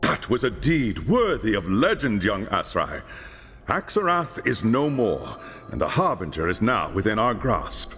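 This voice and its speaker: A grave, commanding high lawmaster in a fantasy video game, his pitch swinging very widely as he gives orders. His voice rings in a long hall reverb over clear music. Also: very animated, a little slow. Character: solemn older male high lawmaster, commanding mentor.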